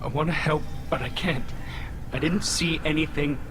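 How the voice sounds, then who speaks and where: A man playing a shy, fragile young alien in a video game, with a halting, apologetic and unsure way of talking. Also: fairly high pitch, noisy background, close to an everyday voice. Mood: troubled, grateful.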